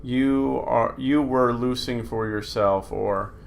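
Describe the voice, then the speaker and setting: A man teaching Greek grammar at a slow, deliberate pace, pausing often with fillers. His voice has a gravelly, creaky edge. A faint hiss of noise sits under the recording. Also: medium pitch, steady tone. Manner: unhurried, talking through slides with fillers. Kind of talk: recorded screen lesson.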